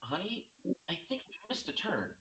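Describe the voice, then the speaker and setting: A man's voice: on the high side, sing-song, moderate pace, sharp tone, husky, heavily acted, low-quality recording. A man playing a mild, apologetic, concerned husband in a script table read. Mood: sheepish.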